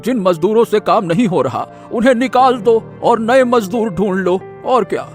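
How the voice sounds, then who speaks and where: A man's voice: high-pitched, expressive, moderate pace, soft background music. A man playing a trusting, fatherly elderly factory owner in a cartoon story. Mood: kindly, trusting. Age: older.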